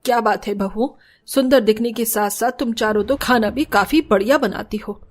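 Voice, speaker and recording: Casting: female actor as cruel middle-aged cartoon mother-in-law, raised pitch, harsh scolding manner. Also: moderate pace, lightly acted. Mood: pleased. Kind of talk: Hindi cartoon story acting.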